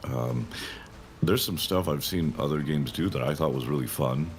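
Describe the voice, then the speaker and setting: Very deep-voiced male game developer. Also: normal speed, a little hiss or hum. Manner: rambling, thinking aloud with many fillers. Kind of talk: casual interview answers.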